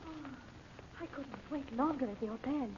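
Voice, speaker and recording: A woman's voice: high voice, normal speed, lightly acted. An actress playing a devoted, stubborn foreign woman in an old-time radio serial, speaking in formal, careful phrases. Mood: devoted, insistent. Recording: dull, noisy old radio recording.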